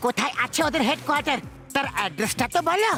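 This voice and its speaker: A man voicing a brisk, bossy but helpful cartoon sidekick hero. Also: very high voice, very animated, exaggerated voice. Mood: businesslike. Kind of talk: exaggerated cartoon voice acting. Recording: loud music over the voice.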